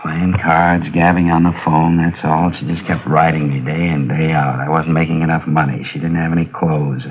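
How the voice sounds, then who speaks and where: A bitter middle-aged husband complains and defends himself in a 1950s radio crime drama. His voice is extremely deep, on a muffled recording with some hiss. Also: flat delivery, moderate pace, few pauses. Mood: bitter, resigned. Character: bitter, cornered middle-aged husband caught planning a robbery.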